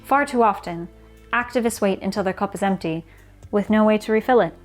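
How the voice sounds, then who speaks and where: A woman reading scripted explanatory narration in a measured, formal and earnest way. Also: mid-range voice, soft background music. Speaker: guest contributor on activism.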